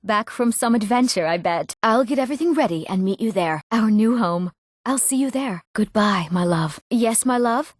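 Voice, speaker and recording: An actress playing an eager, earnest young townswoman in a fantasy video game, with clear, expressive scripted delivery. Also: a little high, moderate pace, no echo. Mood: warm, affectionate. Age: young adult.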